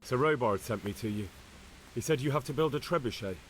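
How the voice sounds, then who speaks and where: Actor as an earnest young squire, lively pitch. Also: male voice, a little fast, soft background music, lightly acted, rough recording. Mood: earnest, willing. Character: eager, plain-spoken young medieval man-at-arms. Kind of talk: dramatic game cutscene acting. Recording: faint hiss and background noise.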